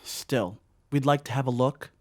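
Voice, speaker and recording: An insistent young man in a radio play, played by an actor. He speaks in a matter-of-fact way with a medium-pitched voice, pressing his point. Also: measured pace, lightly acted. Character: insistent young man neighbor reporting missing pets. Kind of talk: audio drama acting.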